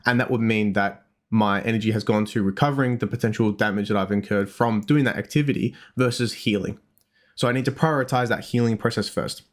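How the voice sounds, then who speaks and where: Rambling Australian man, lowish voice. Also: a little fast. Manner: rambling, self-deprecating, frequent restarts. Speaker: dietitian podcast host. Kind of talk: casual podcast chat.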